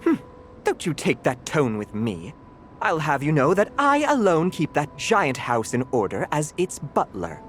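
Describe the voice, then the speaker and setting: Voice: mid-range voice, wildly swooping pitch, a little slow, a little hiss or hum. An actor voicing a fussy, proud male cat butler in a video game, speaking in a pompous, formal and theatrical way. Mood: indignant, proud.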